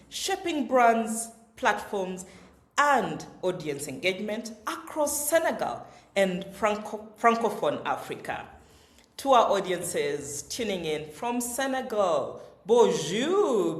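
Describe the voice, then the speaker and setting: A woman hosting a webinar, introducing each speaker in a formal, measured way. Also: mid-range voice. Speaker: webinar host. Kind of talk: moderating a webinar. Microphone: video call. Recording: roomy echo.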